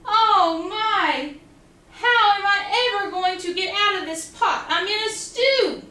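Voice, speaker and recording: A woman's voice: very high voice, lively intonation, takes it slowly, pushed and bright, recorded from a distance, voice pushed up, full character voice. Woman doing a little duck quacking for his money. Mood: worried. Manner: chanting quacks in a singsong. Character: small stubborn male duck with a loud quack. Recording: echoey room, off mic.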